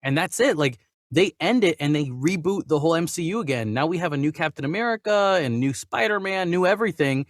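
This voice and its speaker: Animated, higher-voiced man talking movie news. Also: a little fast, few pauses, no echo, studio quality. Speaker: host of a movie news show. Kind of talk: opinionated casual discussion.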